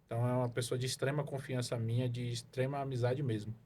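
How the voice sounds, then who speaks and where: A man's voice: medium pitch, flat delivery, quick pace, a little mellow, a little hiss or hum. A man from Salvador, a podcast guest telling his career story in a rambling way, full of fillers and tag questions. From Salvador, Bahia, Brazil. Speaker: guest, car evaluation consultant. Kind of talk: casual podcast interview.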